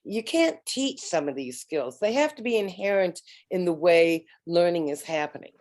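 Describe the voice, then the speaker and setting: A woman panelist in an online round table, speaking haltingly with repeated words and many fillers. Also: lowish voice, lively intonation, a little mellow. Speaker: educator and panelist. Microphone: video call.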